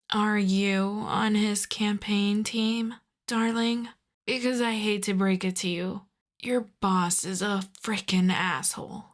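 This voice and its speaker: A woman playing an exasperated but courteous mafia boss in a roleplay audio drama, wry, sardonic and conversational. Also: mid-range voice. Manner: wry, sweary, conversational, addresses listener as darling.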